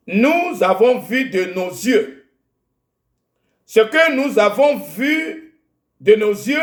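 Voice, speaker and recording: A man from Togo whose first language is Ewe delivers a sermon in French with a Togolese accent. His voice sits very high and he speaks unhurriedly, halting and repeating words as he goes. The recording is echoey, as if made in a reverberant room. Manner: slow and halting, repeats words while searching for them. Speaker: preacher. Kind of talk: sermon with Bible reading.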